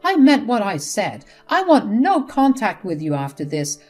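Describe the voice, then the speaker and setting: A woman's voice: mid-range voice, wildly swooping pitch, moderate pace, darkish tone, pitched above the performer's own voice. A woman voicing a fed-up adult son who refuses his mother bluntly and firmly, over faint music.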